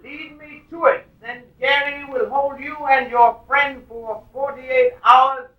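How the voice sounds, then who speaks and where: Echoing, shrill, menacing villain man. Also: steady tone, lo-fi sound. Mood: coldly threatening. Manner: deliberate, formal, calling out. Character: menacing, formal male villain shouting demands. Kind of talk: 1940s radio drama acting. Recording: echoing, distant from the microphone.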